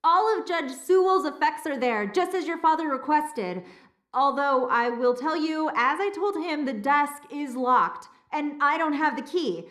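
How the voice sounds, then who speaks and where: A polite, uneasy woman attendant in a game. She speaks slowly and formally in a high, hesitant voice, heard in an echoing room. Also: a bit bright. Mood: nervous, polite. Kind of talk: video game voice acting. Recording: roomy echo.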